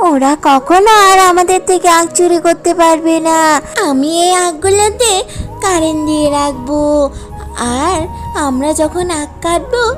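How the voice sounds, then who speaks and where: A woman voicing a clever, excitable young fox daughter in a cartoon. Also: very high-pitched. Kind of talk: cartoon character dialogue.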